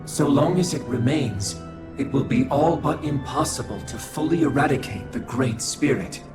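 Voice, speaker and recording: A man's voice: medium pitch, natural voice. Male actor as alien observer, game cutscene, emotionless, precise formal diction, heavy echo, background music. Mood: cold, expository. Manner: formal, measured, emotionless, pedantic. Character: cold, detached alien observer of a fallen species. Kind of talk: scripted video game voice acting. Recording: very roomy echo, music behind.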